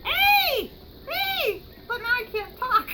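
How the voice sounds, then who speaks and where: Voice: extremely high pitch, sing-song, slow pace. A playful, giggly puppeteer improvising a puppet show with bits of singing, in a noisy home recording.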